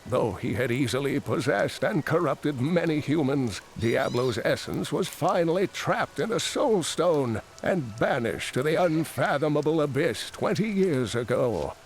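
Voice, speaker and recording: Solemn fantasy lore chronicler. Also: male voice, a little high, expressive, unhurried, hardly any pauses, a little hiss or hum. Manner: formal, storytelling. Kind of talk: dramatic scripted lore narration.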